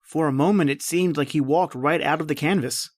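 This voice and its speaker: A man's voice: on the high side, brisk, no echo, clean studio sound, natural voice. A man playing a plain-spoken guest. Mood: wary. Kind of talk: old-time radio drama acting.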